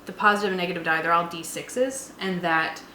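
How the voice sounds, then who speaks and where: A woman's voice: lowish voice, steady tone, brisk, bright, forceful tone. A woman co-hosting a tabletop roleplaying show, chatting casually and agreeably in an informal way. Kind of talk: casual chat, brief responses and explanations. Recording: echoey room with faint background noise.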